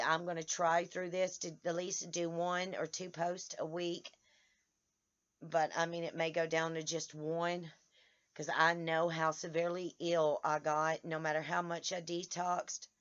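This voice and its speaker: Hoarse woman, vlogger, tired, halting delivery full of restarts; muffled home-video sound. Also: fairly low pitch. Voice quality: halting, many restarts and stammers. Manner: tired, speaks in short broken phrases. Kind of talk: casual vlog to followers. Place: outdoors in the sun.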